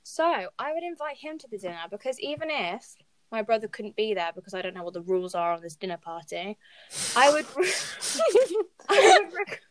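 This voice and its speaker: A woman's voice: fairly high pitch, sing-song, normal speed, dry recording, low-quality recording. A young woman co-hosting a podcast, talking informally and animatedly with her friends. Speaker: podcast co-host. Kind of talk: casual chat among friends.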